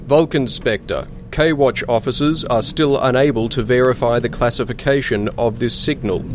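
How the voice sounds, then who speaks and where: A man's voice: mid-range voice, normal speed, slight background noise, lightly acted. A man playing a stern, impatient military marshal in an audio drama, giving clipped, authoritative commands. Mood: commanding.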